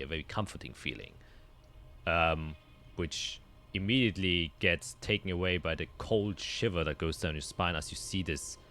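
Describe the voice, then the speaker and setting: A man narrating a tabletop roleplaying game as game master, with descriptive, dramatic storytelling and deliberate pauses. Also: low-pitched, sharp tone.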